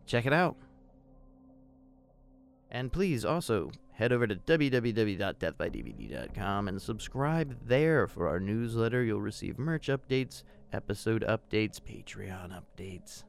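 Low, gravelly male podcast host. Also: big pitch swings, a little slow, slight background noise. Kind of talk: casual podcast announcements and sign-off.

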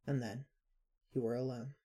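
A woman narrator reading scripted fiction in a husky voice. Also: a bass voice, fairly even intonation, moderate pace, dark tone.

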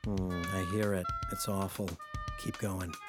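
A man's voice: low voice, level tone, measured pace, over music. A man acting as a cynical, jaded ad man in a comedic audio drama, melodramatic and profane as he needles his best friend. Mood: mock dreamy.

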